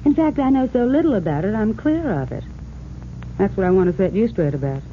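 Actress as cool, tough dame, tinny sound. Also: female voice, mid-range voice, sing-song, brisk, natural voice. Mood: guarded, insistent. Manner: cool, blunt, knowing. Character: tough, guarded young dame with a tip. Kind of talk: 1940s radio acting. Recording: tinny, hissy old radio recording.

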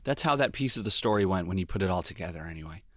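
A man's voice: low voice, lively intonation, rapid-fire, a bit bright, no room sound. An actor playing a wry, world-weary private detective narrating his case in an audio drama. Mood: wry, brooding. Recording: guitar music under the voice. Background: plucked guitar music.

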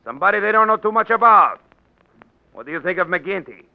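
An actor playing a tough, bullying big-city political boss in 1940s radio style, gruff and sardonic, talking in gangster slang. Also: male voice, high voice, expressive, fairly quick. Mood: brisk, scheming. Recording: dull 1940s radio recording.

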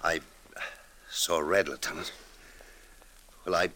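An actor playing a hot-tempered, despairing ex-convict in an emotional, anguished style of 1950s radio drama. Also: male voice, sits low, steady tone, takes it slowly, sharp tone, exaggerated voice. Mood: bitter, defeated.

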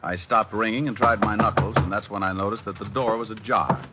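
A man's voice: lowish voice, steady tone, fairly quick, lightly acted. Guy acting as a suave detective from old radio, wry wisecracks, dull lo-fi sound with music behind. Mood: wry, hard-boiled. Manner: wry, glib, hard-boiled wisecracking. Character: wisecracking, suave private detective, first-person narrator. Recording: muffled vintage radio recording, music behind.

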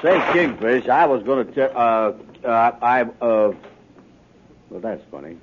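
A man playing a slow, gullible, doubtful dupe in a 1950s radio sitcom, speaking hesitantly in a folksy dialect. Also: medium pitch, natural voice. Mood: puzzled. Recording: narrow, noisy vintage radio recording with clicks.

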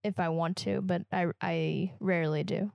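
A woman from Kansas with a Midwestern American accent talks through ideas on a casual podcast, her voice a little low and gravelly. She speaks in a reflective, halting way and restarts phrases often. The recording is dry and close, with no room sound. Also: steady tone, darkish tone, no echo. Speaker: podcast co-host.

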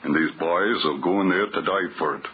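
A man in a 1930s radio drama playing a hard-boiled, greedy underworld fixer with husky, slangy, mocking tough-guy talk. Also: sits low, normal speed, slight background noise, lightly acted. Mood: sly, insistent. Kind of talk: 1930s radio crime acting. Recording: muffled 1930s radio recording.

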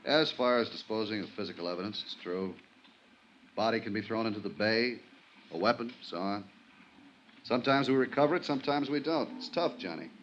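A man acting a weary, level-headed American police inspector in a 1950s radio drama, measured and reflective. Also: on the low side, muffled recording, natural voice. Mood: wistful, weary. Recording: noisy 1950s radio recording.

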